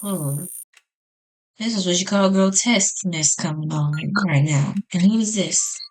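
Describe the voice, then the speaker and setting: A woman's voice: lowish voice, a little slow. A woman reading visual novel text aloud as a gaming host, in an informal way with casual reactions. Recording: music behind the voice.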